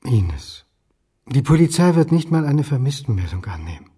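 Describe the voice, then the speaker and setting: An actor playing a wry, sceptical history lecturer in a radio crime drama, with a dry, sardonic manner. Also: male voice, mid-range voice, sing-song, moderate pace, dark tone, husky, no room sound. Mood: calm, reasoning. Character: wry, sceptical male history lecturer turned amateur sleuth.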